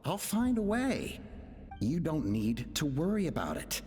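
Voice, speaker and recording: An actress playing an anxious game character who pleads earnestly like a grown child to a parent. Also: female voice, low voice, huge pitch swings, unhurried, darkish tone, heavily acted. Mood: pleading. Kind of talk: dramatic video game voice acting. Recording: long reverb over game music. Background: faint game music.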